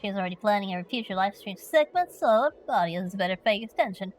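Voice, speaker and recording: A woman's voice: mid-range voice, animated, brisk, faint music behind. A woman from Finland streaming a story game, chatting playfully and reading questions aloud.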